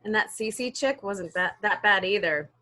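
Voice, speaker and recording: A woman acts out a frank young movie actress at a home table read. Her voice is mid-range and sharp. She speaks with outspoken attitude. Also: medium pitch, unhurried. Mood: frank, worried. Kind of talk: amateur table reading of a film script.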